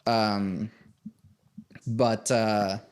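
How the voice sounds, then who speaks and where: A man from Venezuela talks loosely about his life in music, his sentences looping through likes and small laughs. His voice sits a little on the low side. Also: level tone, drawn-out words, clear, resonant tone. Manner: rambling, full of likes and fillers, laughs often. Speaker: composer and podcast host, interviewed as guest. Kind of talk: casual interview chat.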